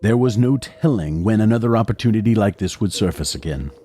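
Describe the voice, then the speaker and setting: An actor playing a somber narrator who recounts his past in a measured, literary horror audio drama. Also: on the low side, expressive, warm tone, close to an everyday voice. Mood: grave, reflective. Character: somber male narrator recalling his gruesome experiments. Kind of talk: scripted first-person horror narration. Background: music.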